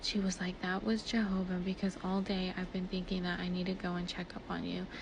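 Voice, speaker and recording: A woman's voice: on the low side, monotone, brisk, vocal fry. A woman telling a personal story casually and conversationally, peppered with filler words and retold dialogue. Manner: filler-heavy, conversational, quotes dialogue as she retells. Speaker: speaker recounting personal events. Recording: noisy ambient background, amateur voice clip.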